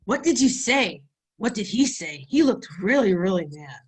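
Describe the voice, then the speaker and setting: Casting: woman as eager, worried young girl for screen drama, lively swooping pitch, slightly raspy, insistent. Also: normal speed. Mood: alarmed. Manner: blurting, insistent questions. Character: anxious, pushy young girl, eager and easily frightened. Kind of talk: screenplay table read.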